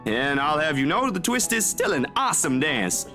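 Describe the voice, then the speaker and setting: A man's voice: fairly high pitch, very animated, moderate pace, bright, forceful tone. An actor playing an easygoing, bashful young male friend in a visual novel game, talking in an informal, self-deprecating way. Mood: bashful, playful. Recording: music behind the voice. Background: music.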